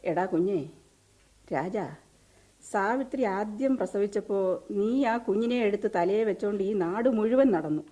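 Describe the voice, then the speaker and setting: A woman's voice: mid-range voice, moderate pace, warm tone, muffled recording, close to an everyday voice. An actress playing a reproachful older mother in a radio drama, scolding emphatically in a southern Kerala Malayalam accent. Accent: Kerala Malayalam, southern Travancore. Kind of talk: mid-century Indian radio drama acting.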